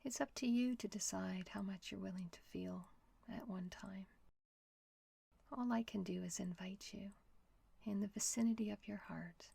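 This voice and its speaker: A woman leading a guided meditation in a soft, whispery, gentle voice with long pauses. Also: medium pitch, vocal fry, husky, dry recording.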